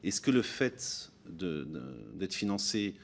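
A man in his thirties, acting as rapporteur and questioning witnesses in a parliamentary hearing, speaking haltingly with many restarts into a desk microphone in a large committee room. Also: fairly low pitch, animated, gravelly. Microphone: desk gooseneck microphone.

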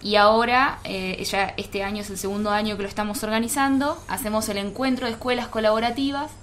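A woman's voice: mid-range voice, steady tone, brisk, a bit bright, slight background noise. A woman with an Argentine accent giving an informal, explanatory account in a radio interview. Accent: Argentine Spanish. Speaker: guest, teaching practice coordinator.

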